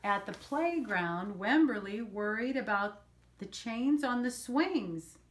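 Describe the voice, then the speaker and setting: Slow-paced older woman reading a storybook. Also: fairly high pitch, expressive. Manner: explains words and pictures to young listeners. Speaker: storyteller, grandmother reading to children. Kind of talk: children's picture book read-aloud with asides.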